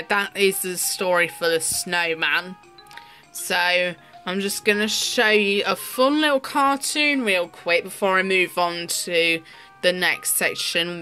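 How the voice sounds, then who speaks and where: A woman's voice: on the low side, slow pace, sharp tone. A woman giving casual, rambling commentary as the host of a toy showcase video. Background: music and the toy's sounds behind the voice.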